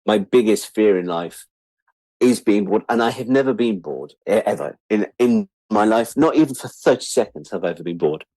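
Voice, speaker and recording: A man's voice: fairly low pitch, lively intonation, brisk, few pauses, warm tone, dry recording, poor audio. A British man of about sixty chatting casually and reflectively as an interview guest, candid and wry, repeating words for emphasis. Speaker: interview guest, freelance media producer.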